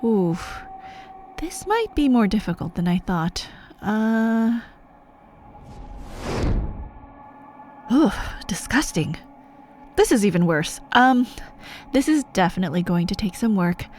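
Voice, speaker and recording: A woman playing a sadistic, gleeful sorceress in an ASMR roleplay, cooing mockingly at a captive pet in a breathy voice that often slips toward a whisper. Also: mid-range voice, sing-song, darkish tone, slight background noise. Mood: disdainful. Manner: mocking baby-talk cooing, drawn-out words, breathy asides. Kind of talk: ASMR roleplay monologue addressed to the listener.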